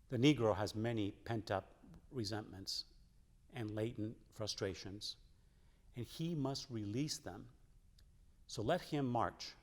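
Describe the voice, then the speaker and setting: A man, a church member, reading quotations aloud in a solemn, scripted way. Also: on the low side. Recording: long hall reverb.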